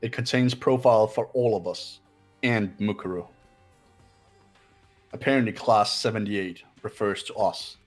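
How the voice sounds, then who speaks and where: Man acting a haughty young man, deep-leaning husky voice, condescending, pompous, deliberate, game dialogue, background music. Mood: smug, analytical. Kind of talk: voiced video game playthrough.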